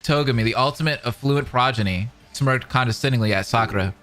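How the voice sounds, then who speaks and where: A man reading narration dramatically and adding excited commentary as a reaction streamer. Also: medium pitch, quick pace. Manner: animated, dramatic. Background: faint music.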